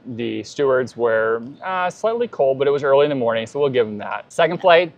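A man's voice: mid-range voice, expressive, fairly quick. A man in his thirties co-hosting a travel vlog, chatting casually and reading reviews aloud, on a lapel mic in a big airport terminal. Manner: easygoing, chatty. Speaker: travel vlogger co-host. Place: large airport terminal, indoors. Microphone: clip-on lapel mic.

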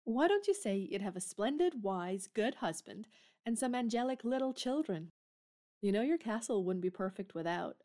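A woman's voice: mid-range voice, lively intonation, moderate pace, a little mellow, lightly acted. A woman playing a spirited, tomboyish young woman in an audio drama, speaking in a forthright, emphatic way. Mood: eager. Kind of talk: theatrical audio drama acting.

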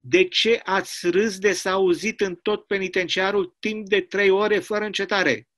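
A man's voice: high-pitched, steady tone, fairly bright tone. A man voicing a bullying, indignant communist prison governor in a stage play, interrogating with menacing emphasis. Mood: threatening.

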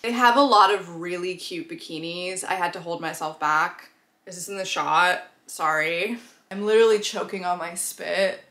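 A woman's voice: lowish voice, normal speed. Young woman vlogger with a cold, rambling apologetically into an on-camera mic. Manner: apologetic and rambling, animated hand gestures. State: sick, a bit nasal. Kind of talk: casual vlog, talking to camera. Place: bedroom with couch, indoors. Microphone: camera mic at arm's length.

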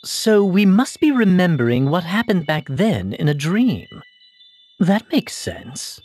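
A woman's voice: fairly low pitch, sing-song, slow pace, a little mellow, slightly rough, faint music behind, heavily acted. A woman playing a composed, level-headed young woman in a fantasy video game, with a dry, matter-of-fact manner. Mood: reasoning.

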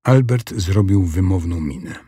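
A man tells a children's story as narrator. His voice is low and very husky, and he reads expressively with lively intonation over soft music. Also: unhurried, dry recording, studio quality.

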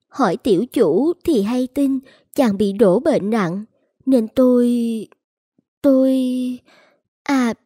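Dramatic high-pitched lovesick young woman. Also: dark tone, breathy edge, no room sound, studio quality. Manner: plaintive, coquettish, emotionally dramatic. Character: lovesick, scheming young woman feigning helpless distress. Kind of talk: Vietnamese animated folk tale dubbing.

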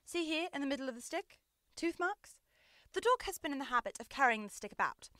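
A woman's voice: high-pitched, expressive, a little fast, fairly bright tone, no echo, studio quality. A young woman playing a brilliant, self-assured male Victorian detective in a theatrical, precise radio drama style. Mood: triumphant. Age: young adult. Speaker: student actor.

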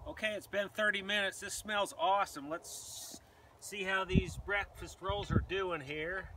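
A middle-aged man hosting a casual cooking demonstration, talking plainly and relaxed while he works with his hands, first in a kitchen, then in an open field. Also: high voice, a little slow, far from the mic, background noise. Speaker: outdoor cooking host. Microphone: camera mic at a distance.

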